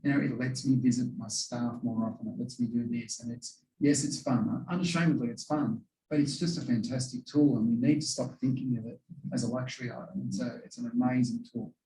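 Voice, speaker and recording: A man with an Australian accent, a pilot answering audience questions in a relaxed, chatty way full of "you know". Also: medium pitch, brisk, distant mic. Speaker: pilot speaking to a historical society. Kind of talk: casual question-and-answer talk. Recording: roomy echo, voice away from the mic.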